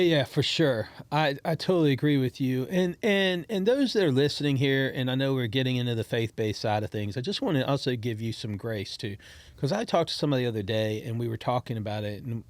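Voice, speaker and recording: A mid-pitched man hosting a podcast, chatting casually and speaking encouragingly to the listeners. He rambles, restarts his sentences and repeats words as he goes. The sound is dry and close, with no room echo. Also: a little fast. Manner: encouraging, talks straight to listeners. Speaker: podcast host.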